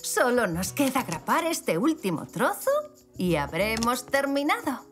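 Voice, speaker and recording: A woman's voice: a little high, measured pace, soft background music. Flamboyant, swooping artist zebra lady. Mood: busy, cheerful. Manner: animated, gushing. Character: enthusiastic, artistic adult woman zebra, flamboyant. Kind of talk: children's animated series dub.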